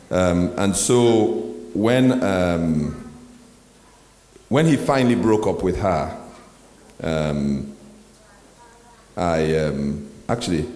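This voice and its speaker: A pastor with a very low voice answers onstage questions thoughtfully. He pauses often with ums, and his voice rings through a large hall with a long echo. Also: darkish tone. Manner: reflective, with frequent ums and pauses. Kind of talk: onstage interview. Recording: echoey hall with faint noise.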